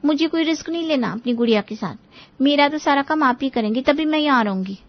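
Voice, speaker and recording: Woman playing a high-voiced, fussy young daughter. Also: expressive, fast talker, highs cut off. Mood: insistent. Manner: insistent, complaining. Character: demanding, fussy young adult married daughter. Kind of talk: Hindi family story dramatization.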